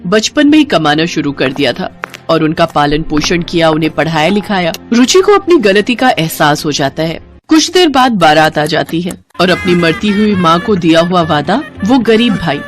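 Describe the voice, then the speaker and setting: A woman narrating a scripted moral story in an expressive, dramatic storytelling manner. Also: on the low side, moderate pace, soft background music.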